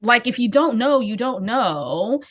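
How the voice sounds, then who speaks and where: Lively, reassuring woman hosting a chat. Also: on the high side, unhurried. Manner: chatty and reassuring, laughs easily. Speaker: host. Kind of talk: casual video-call conversation.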